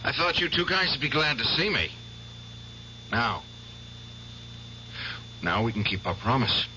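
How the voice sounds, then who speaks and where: A man's voice: medium pitch, big pitch swings, unhurried, heavily acted. An actor playing an obsessed, vengeful male Korean War veteran in a 1970s radio drama, intense and insistent. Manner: intense, insistent, swings loud and soft. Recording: dull old radio broadcast with hiss.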